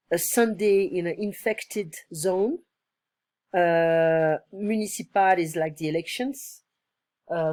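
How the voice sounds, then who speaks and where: A woman tutoring one-on-one, her voice slightly hoarse from illness, explaining patiently and repeating phrases. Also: fairly low pitch, a little mellow, no echo. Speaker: French tutor. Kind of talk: one-on-one online language lesson.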